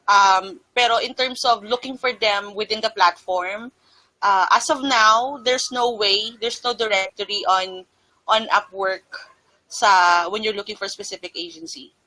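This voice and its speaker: A woman's voice: mid-range voice, steady tone, unhurried, sharp tone, rough recording. A Filipino woman guest answering interview questions casually, mixing Tagalog and English. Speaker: guest freelancer.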